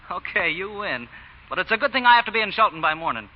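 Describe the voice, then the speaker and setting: An actor playing a brash, wisecracking young truck driver, slangy and cocky, in old radio drama style. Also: male voice, high voice, big pitch swings. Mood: cocky, teasing. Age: young adult. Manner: slangy, cocky, quick-tongued. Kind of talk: 1940s radio acting. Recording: muffled, faintly noisy old radio recording.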